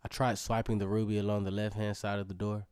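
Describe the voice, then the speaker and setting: A man's voice: fairly low pitch, flat delivery, quick pace, a little mellow, clear, resonant tone, no room sound, clean studio sound, close to an everyday voice. A man playing a curious, adventurous young storyteller, narrating a scripted audio drama in the first person. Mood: focused, suspenseful.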